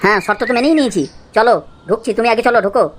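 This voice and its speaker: Very rapid henpecked cartoon husband acted by a woman. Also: on the high side, close to an everyday voice. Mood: placating. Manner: pleading, wheedling, muttering asides to himself. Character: henpecked, wheedling, secretly scheming village husband. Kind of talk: amateur comedy cartoon dialogue.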